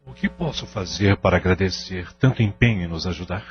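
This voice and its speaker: Man acting deep-voiced, humble Brazilian householder. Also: a little fast, breathy edge. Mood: humble, grateful. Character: grateful, humble man of the house, respectful newcomer. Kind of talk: audio drama acting. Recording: dull lo-fi audio with music underneath.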